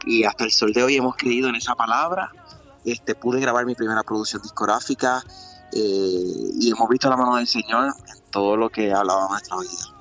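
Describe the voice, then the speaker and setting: A man in his twenties with a Puerto Rican accent, a gospel singer talking earnestly about his faith in a remote interview, with pauses and fillers. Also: lowish voice, a bit bright, highs cut off, faint music behind. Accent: Puerto Rican Spanish. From Puerto Rico. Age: 20s.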